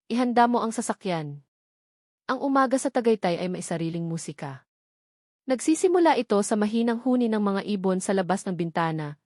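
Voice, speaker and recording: A woman's voice: mid-range voice, darkish tone, dry recording, clean studio sound. A woman with a Filipino accent narrating a scripted love story in a dramatic storytelling style.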